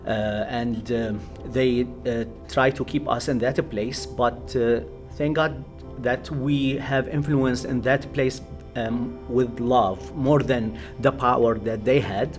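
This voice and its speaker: A man with an Iraqi accent gives his testimony as a pastor over music. His voice sits at a middle pitch, and he speaks haltingly, often searching for the next word. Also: fairly even intonation. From Iraq. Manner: halting, hesitant, searching for words. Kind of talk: personal testimony in an interview.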